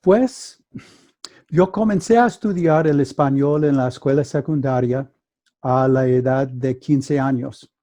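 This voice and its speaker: A man whose first language is English, speaking Spanish with an American accent as a guest mediator, telling a story carefully with halting restarts over a video call. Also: mid-range voice, mellow. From United States. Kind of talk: conversational storytelling.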